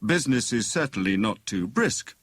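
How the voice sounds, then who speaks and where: Man acting a pompous, jovial husband with animated delivery. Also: fairly low pitch, normal speed, dry recording, clean studio sound, voice pushed up. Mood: mildly sceptical. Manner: teasing, mock-grand, pontificating. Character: jovial, pompous husband playing amateur Sherlock Holmes.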